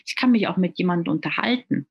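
A woman's voice: on the low side, lively intonation, fast talker, gravelly, slightly rough, lo-fi sound. A woman from Germany, a native speaker of German and Italian, talking earnestly as an interview guest, often repeating words while she searches for the next one. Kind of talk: casual conversational interview.

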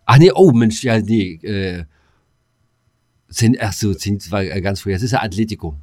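A man with a fairly low voice, recorded dry and close. He talks as a podcast co-host in loose, colloquial chat, joking and slipping into crude slang. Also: lively intonation, moderate pace, darkish tone, dry recording.

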